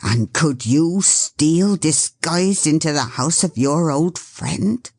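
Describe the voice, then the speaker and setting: A woman reading the part of a grown man, a carrier, who speaks in a slow, grave, reproachful way. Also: low-pitched, expressive, a little mellow, no echo, studio quality, full character voice.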